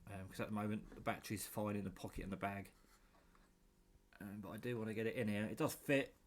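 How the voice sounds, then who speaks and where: A British man with a fairly low voice presents a homemade project from his kitchen. He speaks in an informal, rambling, unscripted way, with the odd mild swear word, like a hobbyist talking to his viewers. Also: steady tone, quick pace. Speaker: hobbyist vlogger presenting own radio build. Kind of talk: casual unscripted demonstration talk. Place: home kitchen.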